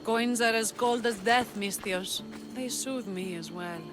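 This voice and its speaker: A woman's voice: on the high side, a little slow, lightly acted. An actress playing a devout, teasing young female Greek rebel leader in a video game, with an earnest manner. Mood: grateful. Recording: loud music behind the voice. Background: music.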